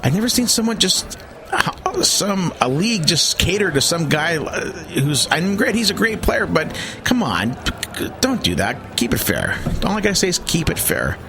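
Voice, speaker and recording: A man's voice: a little high, sing-song, normal speed, noisy background. A man in his forties from the San Francisco Bay Area, giving a rambling, opinionated sports rundown on a podcast. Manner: rambling, opinionated, with many fillers. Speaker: sports segment co-host. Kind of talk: casual sports commentary.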